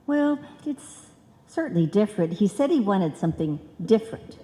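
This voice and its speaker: Scatterbrained comedy wife, played by a woman: earnest, muddled, lively pitch, live hall echo. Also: moderate pace, slight background noise, close to an everyday voice. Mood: eager. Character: scatterbrained, trusting, chatty wife and card seller. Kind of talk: old-time radio comedy acting.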